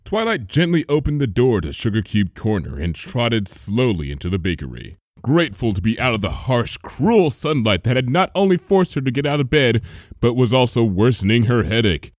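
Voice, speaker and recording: A man gives a dramatic scripted reading of a story. His mid-range voice is highly animated and expressive, in a dry recording with little room sound. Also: normal speed, no echo. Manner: theatrical, expressive. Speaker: fan fiction reader.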